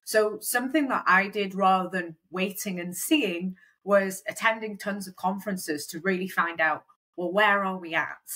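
Bright-voiced woman, chatty guest. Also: medium pitch, normal speed. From Wales. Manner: chatty, lots of fillers like "kind of" and "um". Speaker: recruitment company founder, guest. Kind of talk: conversational interview.

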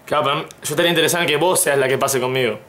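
Hesitant young Argentine man, faint noise. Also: fairly high pitch. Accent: Argentine Spanish. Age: young adult. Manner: hesitant, halting, polite. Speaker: prank caller, YouTube host. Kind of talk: casual prank phone call. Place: small indoor room at home.

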